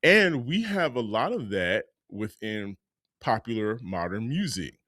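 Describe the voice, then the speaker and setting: A man's voice: on the low side, very animated, measured pace, fairly bright tone, no room sound, studio quality. A man co-hosting a podcast in casual talk, emphatic and repeating words for effect. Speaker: podcast co-host.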